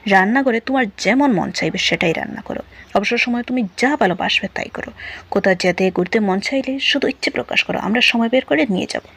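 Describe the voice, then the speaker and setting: A woman voicing a sweet-talking mother-in-law welcoming a new bride in an audio story, soft and indulgent. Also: on the high side, a little fast, creaky, husky, exaggerated voice. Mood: gently indulgent.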